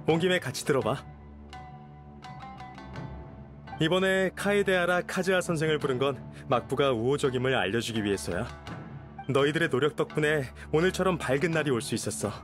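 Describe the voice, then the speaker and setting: An actor playing a courteous young noble official in a game, composed and reassuring, speaking in a measured, formal way. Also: male voice, fairly high pitch, expressive, lightly acted. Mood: cordial, grateful. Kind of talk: game voice acting. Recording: music behind the voice.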